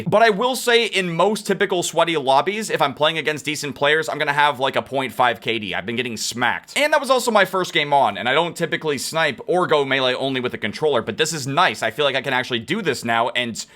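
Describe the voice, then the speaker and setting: A young man commentating his own online shooter gameplay, excitable and quick to rage, his voice getting worn from yelling. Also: a little high. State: losing his voice. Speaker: gaming youtuber.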